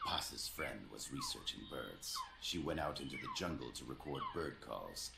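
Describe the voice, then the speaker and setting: An actor playing an older, calm and persuasive KGB envoy in a video game cutscene, speaking in a gravelly, measured, formal way. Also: male voice, reverberant, heavy background noise, heavily acted. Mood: measured, explaining. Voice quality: very deep, gravelly. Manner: measured, deliberate, formal explaining.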